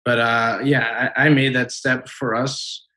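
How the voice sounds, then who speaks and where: A man in his late twenties on a call, his middle-pitched voice bright and forceful. He tells the story of his relationship casually and gets a little defensive when pressed. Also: little pitch movement, a little slow. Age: 20s. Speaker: caller. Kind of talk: casual conversation.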